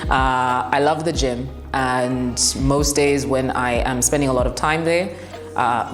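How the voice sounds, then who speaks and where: A young woman with a very deep, commanding voice speaks frankly, laughing between answers. The room is echoey, with a long reverb tail and faint music behind her. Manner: blunt, laughs often. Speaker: broadcast journalist and TV presenter. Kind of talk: interview answers, relaxed and frank.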